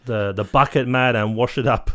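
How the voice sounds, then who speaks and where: A man's voice: mid-range voice, moderate pace, a bit bright, lightly acted. A man voicing a cocky, boastful male ladies' man who cuts in and says "mate" nearly every line. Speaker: comedic character guest.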